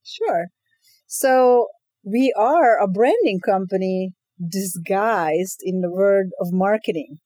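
Woman, from Hungary, podcast guest, mellow tone, dry sound, walks through steps. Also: medium pitch, takes it slowly, no echo. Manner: lively storytelling, explains step by step. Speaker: guest, brand agency co-founder. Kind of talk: casual podcast interview.

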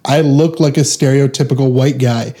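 A man's voice: mid-range voice, steady tone, moderate pace, dark tone. A man who does stand-up, telling a story earnestly in casual podcast talk. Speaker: stand-up comedian.

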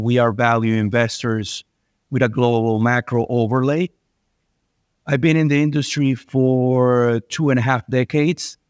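A man's voice: mid-range voice, smooth, dry recording, studio quality. A middle-aged man from Venezuela, a guest answering interview questions with many fillers and restarts. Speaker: investment manager guest.

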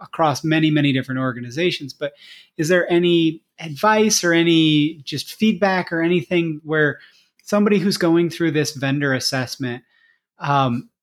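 A man with a slightly high voice hosts a casual podcast interview. He talks conversationally and takes a wordy, rambling route through his questions. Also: moderate pace.

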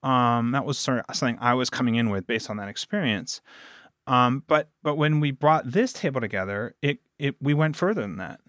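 A man's voice: mid-range voice, expressive, fairly quick, a bit bright, no room sound, clean studio sound. A man talking casually as a podcast guest, telling stories and quoting himself and others as he goes. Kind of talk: casual interview conversation.

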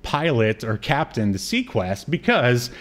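Male podcast co-host, mid-range pitch, casual review chat, somewhat hesitant and halting when recapping. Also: big pitch swings, a bit bright.

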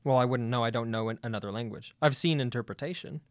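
A man debating as a guest, pushing back eagerly with quick stumbling restarts. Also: mid-range voice, no echo, studio quality. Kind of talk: debate conversation.

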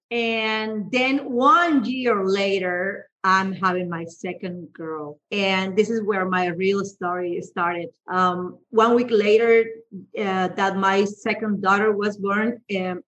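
A woman's voice: medium pitch, takes it slowly, a bit bright, low-quality recording. A woman in her thirties from Mexico, speaking English as a second language with a Mexican Spanish accent, candidly telling her life story as an interview guest with frequent restarts.

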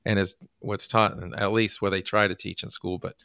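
A man from the United States hosting a casual podcast interview, halting with many fillers and restarts. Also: on the low side, little pitch movement, gravelly, sharp tone, clean tone, no room sound, clean studio sound. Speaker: podcast host.

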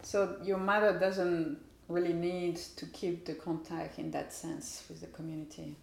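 A woman's voice: fairly low pitch, flat delivery, measured pace, gravelly, a little mellow. A woman asking informal interview questions, tentative and trailing off, with non-native phrasing. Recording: echoey room.

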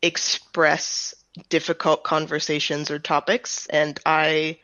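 A woman's voice: deep voice, little pitch movement, slow, bright, forceful tone, no room sound, highs cut off. An American woman giving casual, explanatory answers as an interview guest. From United States. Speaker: audio drama writer and director, interview guest.

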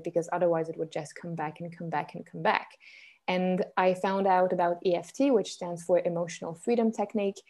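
A woman's voice: fairly low pitch, warm tone. A young woman from Switzerland, a business coach hosting a live online challenge, chatting upbeat with viewers and reading their comments aloud. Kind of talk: live-stream teaching chat with viewers.

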